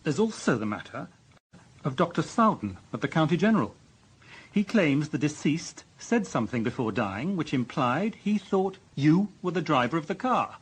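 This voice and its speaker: British deputy police chief, husky, fairly high-pitched, authoritative, exasperated, sarcastic, radio drama reprimand. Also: male voice, sing-song, normal speed, low-quality recording. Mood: measured, grave. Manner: stern, sarcastic, clipped official reprimands.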